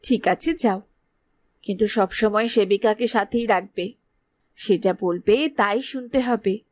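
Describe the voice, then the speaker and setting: A woman playing a caring, worried mother in a fairy tale cartoon, tender and gently admonishing. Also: mid-range voice, a little slow, no echo, close to an everyday voice. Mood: tender concern. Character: anxious, caring mother, queen or woodcutter's wife.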